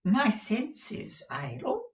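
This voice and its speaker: Drawling, high-flown foppish husband. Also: wildly swooping pitch, extremely slow, roomy sound, exaggerated voice. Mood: effusive, pleading. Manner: drawling, exclamatory, melodramatic. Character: foppish, affected, dissolute husband, theatrically effusive.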